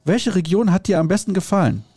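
A man's voice: sits high, big pitch swings, quick pace, mellow, slightly rough, no echo. A man hosting a podcast in a studio, chatting and teasing his guest in a joking way. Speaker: podcast host. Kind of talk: casual chat.

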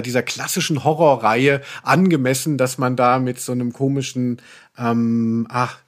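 Guy hosting a podcast, mid pitch, chatty and wordy, keeps rambling and restarting his thoughts. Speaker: podcast host. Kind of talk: casual chat about radio plays.

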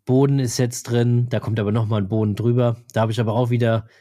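A man co-hosts a podcast in relaxed conversation, explaining at an unhurried pace. His tone is dark and mellow, and a slight cold leaves his throat scratchy. The sound is dry and close to the mic. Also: mid-range voice, dry recording. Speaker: podcast co-host. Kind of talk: casual chat.

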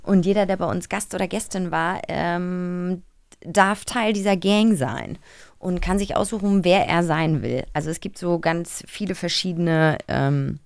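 A woman's voice: fairly low pitch, measured pace, vocal fry, clear, resonant tone, dry recording, studio quality. A woman co-hosting a podcast in a casual, playful chatting style. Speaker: podcast co-host.

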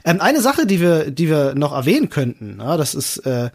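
Higher-pitched, slightly husky man chatting. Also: very animated, normal speed, a little mellow. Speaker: podcast co-host. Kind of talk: casual podcast chat.

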